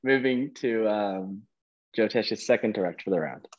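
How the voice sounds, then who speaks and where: A man's voice: fairly low pitch, moderate pace, dull audio. A man hosting a quiz, reading out questions and giving verdicts on answers. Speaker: quizmaster.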